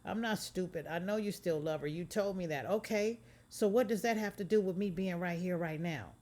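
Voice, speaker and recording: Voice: mid-range voice, moderate pace, darkish tone, voice pushed up, close to an everyday voice. A reader voicing a fed-up young man who curses and argues in a raised, exasperated voice. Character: fed-up young male rapper boyfriend.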